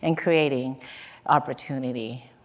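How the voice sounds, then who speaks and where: A woman's voice: a bass voice, unhurried. A woman from Laos answering on a panel, hesitant, with many fillers. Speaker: designer panelist. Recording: long reverb of a hall.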